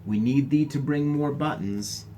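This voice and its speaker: A man's voice: medium pitch, a little slow, warm tone, slight background noise, voice pushed up, lightly acted. A man voicing a friendly older brother, a young colonial soldier in a storybook.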